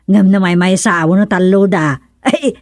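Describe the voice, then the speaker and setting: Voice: medium pitch, normal speed, dry recording, studio quality, lightly acted. An actor playing a matter-of-fact village gossip in a radio comedy, conversational, with an Ilocano accent. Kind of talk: radio serial drama-comedy acting.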